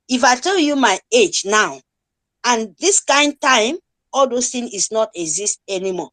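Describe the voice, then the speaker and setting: A Nigerian-accented woman speaking informally and emphatically in a group discussion, with Pidgin-inflected phrasing and repetition. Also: a little high. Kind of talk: impassioned informal testimony. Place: remote online group call.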